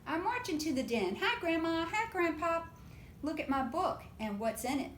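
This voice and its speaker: A woman's voice: very high voice, big pitch swings, moderate pace, pitched above the performer's own voice. A woman voicing an eager young child who wants to read aloud, in a picture book read-aloud. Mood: bright, calling. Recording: roomy echo with background noise.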